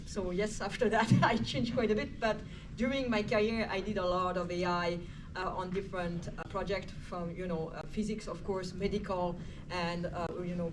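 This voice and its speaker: French-accented woman on a noisy panel. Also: lowish voice. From Switzerland. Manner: hesitant, many fillers. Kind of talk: panel introduction. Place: conference panel stage. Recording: noisy distant room mic.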